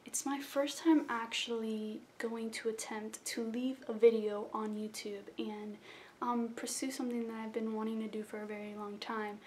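A woman's voice: fairly high pitch, darkish tone, slight background noise. Chatty young woman rambling at a webcam. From South Florida. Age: young adult. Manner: rambling, self-deprecating, chatty. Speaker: vlogger. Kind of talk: casual rambling intro vlog. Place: small bedroom indoors. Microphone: webcam at close range.

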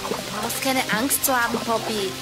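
A woman's voice: on the high side, moderate pace, natural voice. A woman playing a bold, bossy child detective who leads a gang in a radio play. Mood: reassuring. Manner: decisive, urgent. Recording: echoey hall with music behind.

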